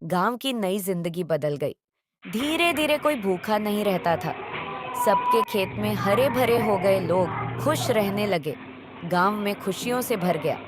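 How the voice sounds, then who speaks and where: A woman's voice: mid-range voice, animated, a little slow. A woman telling a story as narrator, reading scripted narration. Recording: faint background noise.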